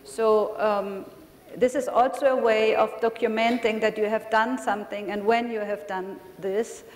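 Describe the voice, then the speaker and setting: A woman from Germany, a native German speaker, giving a conference lecture with hesitant, halting phrasing. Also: a little high, steady tone, darkish tone. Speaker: lecturer, design magazine founder. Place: lecture hall. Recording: echoey hall with long reverb.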